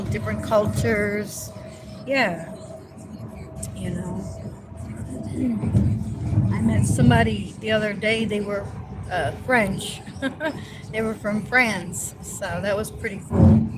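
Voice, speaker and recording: A woman with a New Jersey accent chatting casually to her viewers from a moving car, easygoing and grateful, rambling with lots of fillers. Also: mid-range voice. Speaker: vlogger. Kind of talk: casual livestream chat. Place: in a car on the road. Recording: loud road noise from a car. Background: vehicle noise.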